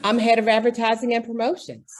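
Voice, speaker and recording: A woman's voice: fairly high pitch, monotone, a little fast, faint music behind, natural voice. A woman playing a harried, earnest department store executive in an old-time radio drama, exasperated and emphatic. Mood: dry, greeting.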